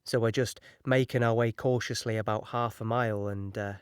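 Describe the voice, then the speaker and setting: An actor playing a British infantryman in an audio drama, recalling the war in a measured, matter-of-fact, musing way. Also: mid-range voice, steady tone, clean tone, no room sound, studio quality, natural voice. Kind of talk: audio drama monologue, conversational recollection.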